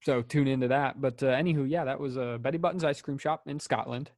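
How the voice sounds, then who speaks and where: A man's voice: medium pitch, fairly even intonation, fast, darkish tone, no echo, clean studio sound. A young man co-hosting a podcast, chatting casually and joking. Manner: laid-back, jokey. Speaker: podcast co-host. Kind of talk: casual chat.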